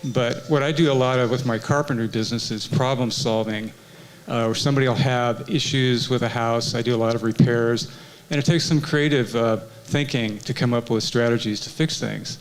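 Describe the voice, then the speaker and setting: A man's voice: medium pitch, moderate pace, faint music behind, rough recording. A man who works as a carpenter, speaking plainly as a city council candidate at a forum. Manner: plainspoken, steady, some fillers. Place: senior community center.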